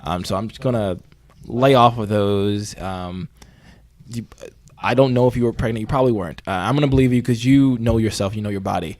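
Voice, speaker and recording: A man's voice: on the low side, fairly quick, a little mellow, dry recording, natural voice. An actor playing an earnest, rambling male podcast host who hesitates and placates his guests in a mock interview. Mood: placating. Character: earnest, apologetic male investigative podcast host, skeptical of spirits.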